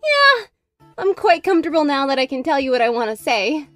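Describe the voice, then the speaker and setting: A very high-pitched cartoon teenage princess. She is intensely emotional and earnest, a magical girl in a fan comic dub. Music plays behind her. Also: sing-song, brisk, fairly bright tone, dry recording. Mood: tender, grateful.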